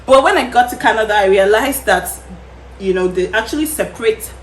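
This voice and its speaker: A woman's voice: medium pitch, animated, brisk, echoey room, slight background noise. A woman from Nigeria with a Nigerian accent, hosting a casual chat and speaking with many fillers and frequent laughter. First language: Yoruba. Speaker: host.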